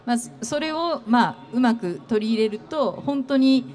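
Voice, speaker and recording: A middle-aged woman interviewing a guest on an expo stage, asking long, gentle questions full of fillers into a handheld mic. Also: fairly high pitch, expressive, normal speed. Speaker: interviewer, company executive.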